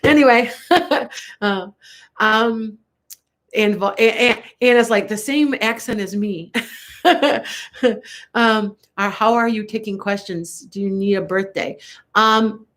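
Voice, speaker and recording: An older woman with a Chicago accent gives a live tarot reading from home. She talks in a loose, rambling, chatty way and laughs often. Her voice sounds a little congested, with an occasional cough, at a middle pitch. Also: takes it slowly, a bit bright. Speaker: tarot reader. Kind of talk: live-stream tarot reading answering viewer comments.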